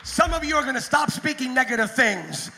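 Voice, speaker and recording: A man preaching a Pentecost sermon as pastor, moving from quiet, conversational storytelling to loud, shouted exhortation. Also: extremely high pitch, sing-song, a little fast, vocal fry, a faint crowd, a little hiss or hum. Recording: music playing behind the preaching.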